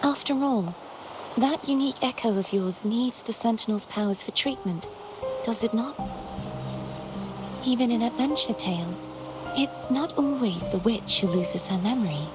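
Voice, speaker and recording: An actress voices a young maiden of a video game, her voice fairly high over prominent music. She is composed and wistful, and phrases things formally, with the measured air of someone long alone. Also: natural voice. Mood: pensive, composed. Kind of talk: scripted video game dialogue.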